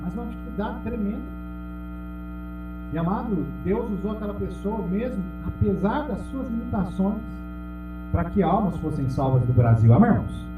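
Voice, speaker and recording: A man's voice: lively intonation, moderate pace. A man preaching a sermon with a Brazilian Portuguese accent, calling out to the congregation and pressing hard on key words. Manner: emphatic preaching, calls out to the congregation, drops low and loud on key words. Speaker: pastor. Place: church hall. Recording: echoey, from far off, music behind.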